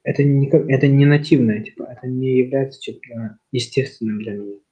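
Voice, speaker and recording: A man's voice: mid-range voice, fairly quick. A young man from Kazakhstan leading a casual discussion, talking in a rambling way with lots of fillers and restarts. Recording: slightly dull lo-fi sound.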